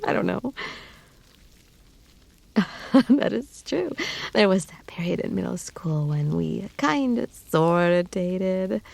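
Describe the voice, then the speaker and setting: A woman playing a shy, affectionate lamia, soft and hesitant, often near a whisper, in an intimate roleplay audio drama. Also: medium pitch, heavily acted. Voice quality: breathy, often dropping to a whisper. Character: shy, cold lamia snake-woman, affectionate childhood friend.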